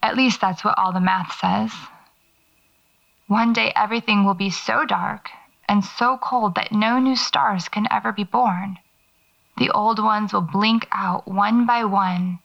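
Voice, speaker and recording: Pensive, wry female horror writer, reflective diary monologue, gravelly fry, dulled cassette sound. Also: mid-range voice, fairly bright tone, dull audio, full character voice. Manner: musing, reflective. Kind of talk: audio drama monologue.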